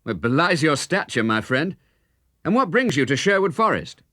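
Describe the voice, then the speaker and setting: A man voicing a spirited, cheeky young outlaw hero in a children's storybook, speaking in brisk, formal, old-fashioned banter. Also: fairly high pitch, very animated, pushed and bright, no echo, studio quality, voice pushed up, heavily acted. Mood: friendly, courteous.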